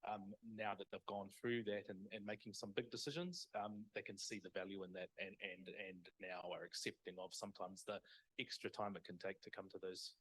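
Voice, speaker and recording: A man's voice: lowish voice, fairly even intonation, fairly quick, fairly bright tone, husky, rough recording. A man, a school board member, answering audience questions at a public lecture with many hesitant restarts. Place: parish hall.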